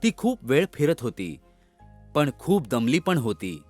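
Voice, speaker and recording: Lively male children's storyteller. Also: medium pitch, a little slow, faint music behind. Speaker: storyteller narrator. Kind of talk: scripted children's story narration.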